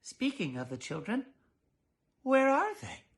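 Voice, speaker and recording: Guy doing a prim fretful storybook mom, raised pitch, slow scolding delivery. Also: huge pitch swings, full character voice. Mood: stern. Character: dull, anxious, primly stern picture-book mother.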